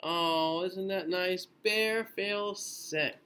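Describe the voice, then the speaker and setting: A man in his thirties reading a children's picture book aloud in an expressive, sing-song way with drawn-out phrases. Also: sits high, slight background noise. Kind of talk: children's storybook read-aloud. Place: small indoor room.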